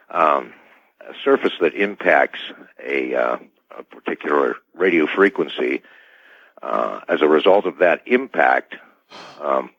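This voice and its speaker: A middle-aged man giving formal, halting technical explanations as an expert guest in a radio interview, over a dull band-limited connection. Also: deep voice, husky, no room sound. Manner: hesitant, formal, technical wording. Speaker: expert guest, scientist and inventor.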